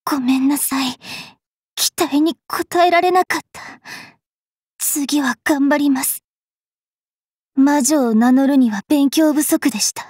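A woman voicing a bookish, sharp-tongued young fairy witch knight in an anime mobile game, switching between prim politeness and rough taunts. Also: high voice, dry recording, clean studio sound. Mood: apologetic.

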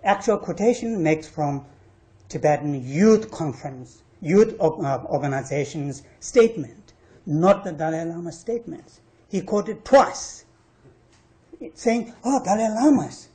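A man who is not a native English speaker, arguing his case on a panel in an emphatic, halting way, repeating words for stress. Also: fairly high pitch, lively intonation, dark tone. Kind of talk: argumentative panel talk. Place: university panel discussion room.

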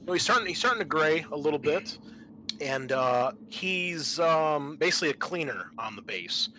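A man running a tabletop roleplaying game as game master, narrating in a halting, improvised way. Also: fairly high pitch, animated, bright, forceful tone, rough recording. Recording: noticeable background noise.

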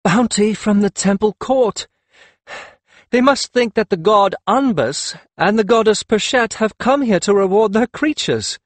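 A man voicing a lively, mischievous young woman in an audiobook, in an animated way. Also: high-pitched, a little slow, no echo, pitched above the performer's own voice. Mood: giggling, amused.

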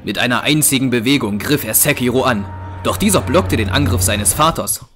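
A man's voice: medium pitch, expressive, a little fast. A man giving scripted narration for a German audio drama. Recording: clear music behind narration.

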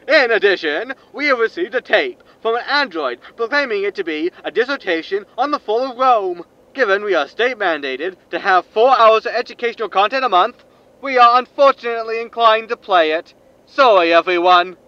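A man's voice: high voice, lively intonation, normal speed, sharp tone, muffled recording. A man voicing a glib, breezy, wry radio announcer in a sci-fi comedy audio drama.

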